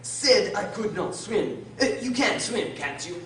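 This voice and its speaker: A pompous, muddled old-fashioned King of a radio play, acted by a woman, blusters and mutters from the bench. The delivery swings widely in pitch. It sounds distant, in a very echoey, noisy room. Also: lively intonation, measured pace, a bit bright. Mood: pondering, pleased. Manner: blustering, hesitant, with muttering and stammering. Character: pompous, muddled King presiding as judge over a trial. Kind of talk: stage-like radio drama acting.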